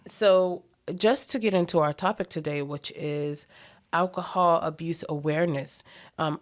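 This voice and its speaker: A woman with a Bermudian accent hosting a health radio show, interviewing a guest and talking to listeners with frequent ums. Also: sits low. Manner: warm-toned hostess, laughs easily, many ums.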